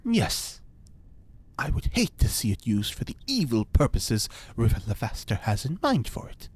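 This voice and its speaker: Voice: mid-range voice, sing-song, moderate pace, darkish tone, slightly rough. An actor playing an eccentric, pompous mad scientist and cult-style ritual leader in a podcast drama, speaking in a grandiose, pedantic way. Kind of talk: audio fiction podcast acting.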